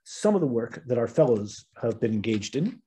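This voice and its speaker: A middle-aged man with an American accent, hosting an online webinar and giving formal opening remarks in a measured, earnest way. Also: mid-range voice, very animated, dark tone, slightly rough. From United States. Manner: measured, earnest, occasional ums and restarts. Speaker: webinar host and moderator. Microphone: video call webinar.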